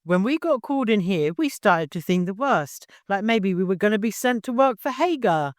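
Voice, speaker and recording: A man voicing a self-possessed, easygoing male warrior in an audiobook, casual and deadpan. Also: high-pitched, normal speed, no room sound, clean studio sound, voice pushed up. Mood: relieved, wry.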